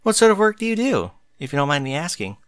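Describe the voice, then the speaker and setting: A man's voice: a little high, big pitch swings, fast talker, smooth, no room sound, studio quality, pitched above the performer's own voice. Man voicing a shy, lilting pegasus mare. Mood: shy, curious. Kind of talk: fan fiction storybook character voice.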